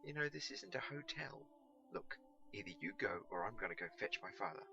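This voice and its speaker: A man's voice: medium pitch, big pitch swings, fairly quick, gravelly, sharp tone, slight background noise, voice pushed up, heavily acted. A man voicing an exasperated, cheeky young boy of today in a storybook, with impatient, colloquial talk. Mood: grudging. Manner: impatient, colloquial, mildly scornful. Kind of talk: storybook character voice.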